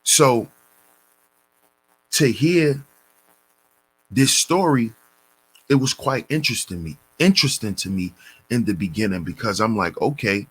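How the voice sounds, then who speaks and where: A man hosting a commentary channel, reading a news story and lecturing on it in a deliberate, preachy way, calling his listeners brothers. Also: on the low side, animated, slightly rough, low-quality recording.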